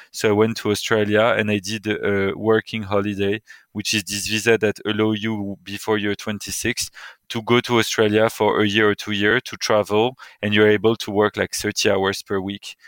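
A man in his thirties with a French accent and a low, clear voice. He chats casually as a guest, in a halting, informal way, recorded very dry and close. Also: a bit bright, no echo. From Paris, France. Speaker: interior designer, podcast guest. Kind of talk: casual interview chat.

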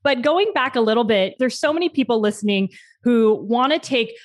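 A woman's voice: a little high, animated, a little fast. A woman hosting a podcast, introducing her guest and asking questions with eager enthusiasm. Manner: warmly enthusiastic, scripted intro then chatty questions. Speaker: podcast host. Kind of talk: podcast interview.

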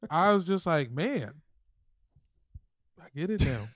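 A man's voice: on the high side, sing-song, slow pace, a bit bright, no echo, studio quality. A man co-hosting a podcast, bantering casually with slang, jokes and swearing. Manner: slangy, profane, joking. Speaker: podcast co-host, comedian.

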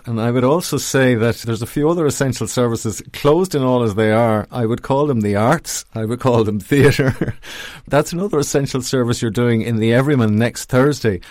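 A man's voice: medium pitch, a little mellow, dry recording, clean studio sound. A man hosting an arts interview in a wordy, conversational way. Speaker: arts radio show host.

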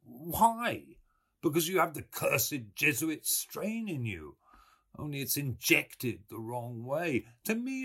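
A man voicing a brash young medical student who talks loudly and mockingly. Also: medium pitch, husky, voice pushed up, heavily acted. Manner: loud, excitable, mocking.

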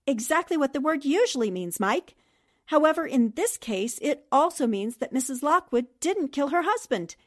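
A shrewd newspaperwoman sleuth from a 1940s radio mystery, played by an actress. Her voice sits a little high, and she speaks with self-assured, methodical precision. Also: sing-song, normal speed. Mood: confident, methodical.

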